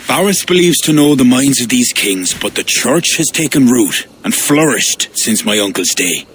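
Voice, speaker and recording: An actor playing a proud, pious and stubborn medieval Irish high king in a video game. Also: animated, moderate pace, fairly bright tone, a little hiss or hum, close to an everyday voice, rough recording. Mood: dismissive. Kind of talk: cinematic video game acting.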